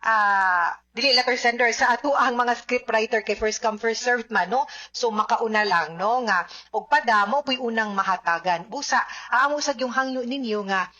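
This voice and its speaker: A woman's voice: fairly high pitch, normal speed, sharp tone, lo-fi sound. A woman with a Cebuano accent hosting a radio advice show, chatty and often checking in with listeners. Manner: chatty, addresses listeners with frequent tag questions. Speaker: radio host and legal adviser.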